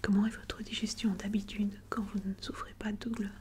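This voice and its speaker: A woman playing a calm, attentive doctor in an ASMR medical roleplay, whispering gently and methodically. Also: medium pitch, fairly quick, close to an everyday voice. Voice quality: breathy whisper. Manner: gentle, methodical, polite and formal. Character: calm, attentive female doctor examining a patient.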